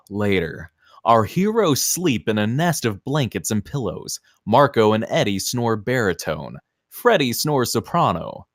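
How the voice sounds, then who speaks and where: Animated male narrator reading screenplay directions. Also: mid-range voice, no echo, clean studio sound. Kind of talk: scripted narration at screenplay table read.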